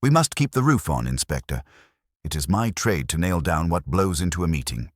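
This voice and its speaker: A man's voice: sits low, sing-song, normal speed, no echo, clean studio sound. A man voicing a deferential, fussy council clerk who speaks carefully and primly.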